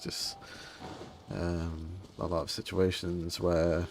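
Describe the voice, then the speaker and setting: A man livestreams a game with a slightly low voice. He chats casually and rambles, often breaking off his own thoughts in a low-key way, with music playing behind him. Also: level tone, takes it slowly, vocal fry. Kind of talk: casual stream chat. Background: soft game music.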